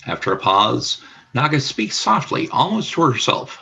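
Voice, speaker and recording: Bright, gravelly male narrator. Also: mid-range voice, big pitch swings, moderate pace, dull audio. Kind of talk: scripted narration of radio play stage directions.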